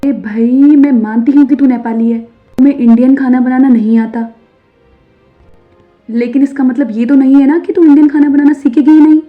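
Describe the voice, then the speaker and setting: A woman playing a stern, high-voiced mother-in-law, phone-like sound. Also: steady tone, normal speed, close to an everyday voice. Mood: angry. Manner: sharp, emphatic scolding. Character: stern, scolding middle-aged Indian mother-in-law. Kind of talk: animated Hindi moral story acting. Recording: narrow phone-like sound over music.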